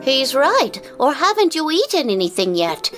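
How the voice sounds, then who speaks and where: A woman voicing a concerned, practical townswoman in an animated fairy tale, insistent and urging. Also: sits high, normal speed. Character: concerned, practical female townsperson urging an old man home. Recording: music under the voice.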